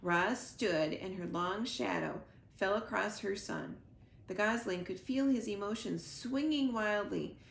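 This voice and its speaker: A woman reading a storybook aloud to children in an expressive, deliberate storytelling way, as a school librarian. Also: mid-range voice, vocal fry. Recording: roomy echo, noticeable background noise.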